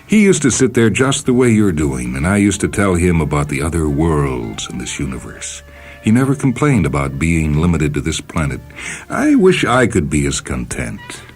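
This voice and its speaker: A man voicing a lonely, wistful stranded spaceman who muses gently, in a radio story reading. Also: rumbling low, huge pitch swings, normal speed, slightly rough, exaggerated voice. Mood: friendly musing. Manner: wistful, musing, talking gently to himself. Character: lonely stranded spaceman, wistful, talking to his animal friend. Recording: music bed under the voice.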